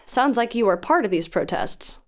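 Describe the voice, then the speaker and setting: A woman's voice: medium pitch, animated, normal speed, natural voice. An actress playing a protective, insistent female investigative podcaster in an audio drama, questioning firmly and earnestly. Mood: probing, suspicious. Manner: probing, firm, earnest. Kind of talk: audio drama phone dialogue.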